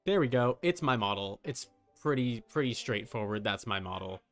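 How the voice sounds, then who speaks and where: A man's voice: medium pitch, expressive, normal speed, soft background music. A man giving casual live gaming commentary as a streamer, informal, with muttered asides and mild swearing. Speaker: video game streamer.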